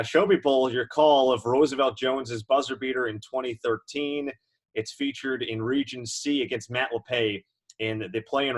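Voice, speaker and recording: A man with a medium-pitched voice hosts a sports interview. He talks conversationally and lets his questions ramble in a chatty way. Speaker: interview host.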